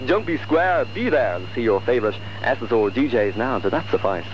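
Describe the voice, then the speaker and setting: Man, hoarse, jokey DJ, animated voice, muffled radio with music. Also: mid-range voice, brisk, slight background noise. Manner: jokey, chatty. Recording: dull old radio recording with music.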